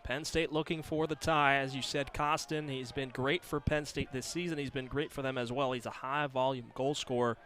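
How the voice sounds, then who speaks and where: A man's voice: mid-range voice, fairly even intonation, normal speed, few pauses, fairly bright tone. A man calling live sports as a play-by-play announcer on a broadcast.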